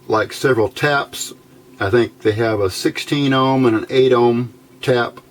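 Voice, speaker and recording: A middle-aged or older man casually explaining technical details as a hobbyist, halting and thinking aloud, recorded indoors at a worktable. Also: medium pitch. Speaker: hobbyist radio builder giving a tutorial. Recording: noisy amateur home recording.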